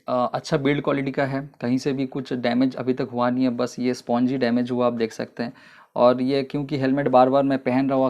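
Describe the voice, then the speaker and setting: A man giving a casual, unhurried product review in a vlog, explaining step by step with frequent fillers. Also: mid-range voice, darkish tone, dull audio.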